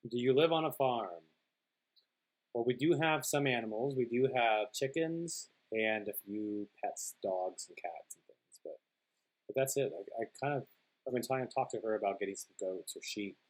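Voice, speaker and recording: A man with a slightly husky voice and an ordinary middle pitch reads out questions and chats in an easygoing way. His tone stays loose and relaxed. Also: normal speed, low-quality recording. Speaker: guest, host's husband. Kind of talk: casual Q&A chat.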